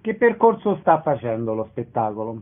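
A man's voice: mid-range voice, sing-song, normal speed. A man working as a radio interviewer, asking questions and announcing event details. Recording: narrow band-limited radio sound.

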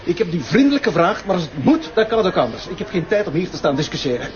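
A man's voice: high voice, big pitch swings, fast talker, lo-fi sound. A Flemish man playing a rude, impatient, threatening traveller in a TV drama. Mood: impatient, aggressive.

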